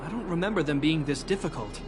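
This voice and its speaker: A man plays a dutiful, protective older brother in a video game. His voice sits a little high for a man, earnest and measured, with clear music playing behind it. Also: lively intonation, natural voice. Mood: earnest, gentle. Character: protective, dutiful older brother, cautious young male fighter. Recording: voice under clear background music.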